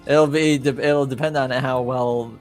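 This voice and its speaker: Guy game mastering, normal pitch, wry n kinda hesitant, chatty, soft music behind. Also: steady tone, slow pace. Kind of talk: casual game chat with friends.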